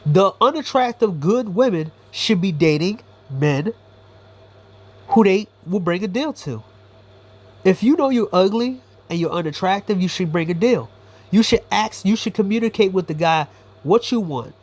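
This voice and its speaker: A man's voice: sits high, animated, normal speed, a little mellow. A man giving a blunt, profane, rambling commentary monologue as a YouTuber.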